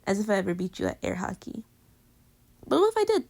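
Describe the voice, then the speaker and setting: A woman playing an affectionate, teasing girlfriend with a hidden insecurity, in intimate pillow-talk roleplay audio, often slipping into breathy whispers. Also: mid-range voice, sing-song, fast, darkish tone. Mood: playful, teasing.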